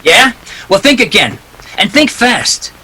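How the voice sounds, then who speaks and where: A man's voice: sits high, big pitch swings, takes it slowly, pushed and bright, husky, heavily acted, low-quality recording. A man playing a tough, wisecracking private detective in a 1940s-style radio drama, clipped, sardonic and impatient. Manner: clipped, hard-boiled, sardonic.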